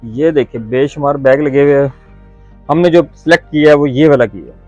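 A man's voice: fairly high pitch, a little fast, darkish tone, no echo, dull audio, faint music behind. Upbeat Pakistani man vlogging in a market. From Lahore, Pakistan. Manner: chatty, upbeat, addresses viewers directly. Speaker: travel vlogger. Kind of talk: walking market vlog to camera. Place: busy indoor market shops. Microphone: phone held at arm's length with lapel mic.

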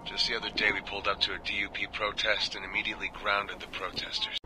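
A man's voice: lowish voice, completely flat delivery, quick pace, close to an everyday voice, rough recording. An actor playing a grave, secretive military informant in a video game, confiding and guarded as he records an audio log. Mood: grave, reflective. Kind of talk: scripted game dialogue, audio log narration.

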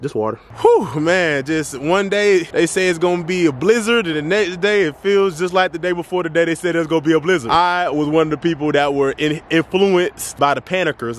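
A young man rattles off jokes at a quick clip, his voice a little high for a man. Clear road and car noise sits under him, picked up by a handheld camera. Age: young adult. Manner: playful, teasing, rapid banter. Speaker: vlogger. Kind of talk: joking casual vlog chat. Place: car interior and outdoors. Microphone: handheld camera at arm's length. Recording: noisy car and outdoor recording.

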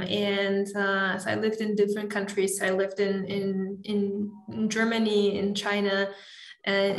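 A woman's voice: medium pitch, vocal fry. Slovak woman guest in her thirties, hesitant and chatty, roomy video-call sound. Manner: hesitant, many restarts and fillers. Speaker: interview guest. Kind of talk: casual interview chat. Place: home room, indoors. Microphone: earphone headset on video call.

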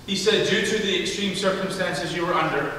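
Bright-voiced pastor in an echoey church. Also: male voice, high voice, slight background noise. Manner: measured, reads scripture then tells a story with animated character voices. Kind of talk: sermon with a told joke. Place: large church sanctuary, speaking from a pulpit. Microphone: pulpit mic, camera at the back. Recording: echoey church hall from far off.

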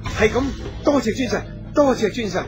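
Radio drama: protective heroic elder brother, Hong Kong Cantonese, firm, somewhat high-pitched. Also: male voice, lively intonation, normal speed, music in the background. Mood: solemn, proud.

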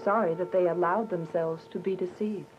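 A woman's voice: on the low side, fairly even intonation, normal speed, noisy background, natural voice. A woman playing a devout, earnest young believer, narrating with emotion in a radio drama. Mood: earnest, resolute. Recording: muffled audio with music bed.

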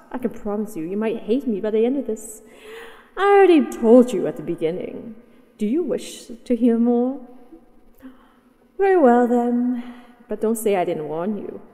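A woman's voice: a little high, dark tone. Woman as a haughty dragoness in cavernous reverb. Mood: possessive, coaxing. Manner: condescending, commanding, teasing. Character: possessive, haughty, mighty female dragon captor. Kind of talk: scripted roleplay audio acting.

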